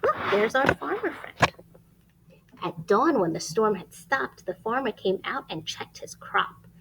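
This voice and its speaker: A woman reading a picture book aloud to young children, expressive and emphatic, pausing to ask questions and point things out. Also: on the high side, noisy background. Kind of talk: animated children's read-aloud.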